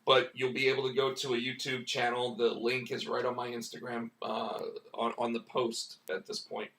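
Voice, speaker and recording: Hoarse man chatting in a roomy space. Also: mid-range voice, monotone, normal speed, a bit bright, recorded from a distance. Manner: rambling, many restarts and "like" fillers. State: losing his voice, hoarse. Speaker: comic book writer and stream host. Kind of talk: casual live-stream chat.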